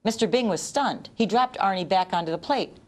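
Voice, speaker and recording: A woman narrating a children's story as an expressive read-aloud. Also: mid-range voice, a little fast. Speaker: storybook reader.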